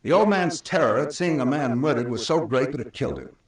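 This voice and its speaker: An actor playing a shrewd, authoritative police captain in an old-time radio drama, explaining things deliberately and emphatically. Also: male voice, medium pitch, expressive, close to an everyday voice. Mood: explanatory, grave. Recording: roomy, distant old radio recording.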